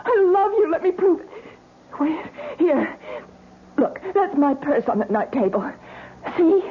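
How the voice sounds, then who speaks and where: An actress playing a passionate, desperate wife in an old radio melodrama, pleading and intense. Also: female voice, high-pitched, sing-song, unhurried. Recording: tinny, noisy old radio recording.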